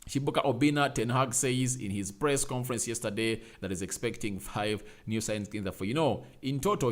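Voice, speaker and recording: Young male streamer host, mid-pitched, chatty and repetitive, punches lines up loud when urging likes. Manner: reads out viewer names and comments, repeats phrases, urges likes; emphatic, rising to loud calls. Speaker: fan channel host. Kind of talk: casual live-stream chat.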